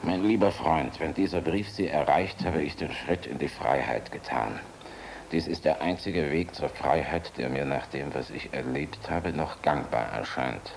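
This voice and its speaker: An actor playing a defiant, world-weary middle-aged general in a German radio drama, sardonic, brooding and biting. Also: male voice, rumbling low, darkish tone, exaggerated voice. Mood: weary reading aloud. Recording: clearly noisy radio drama recording.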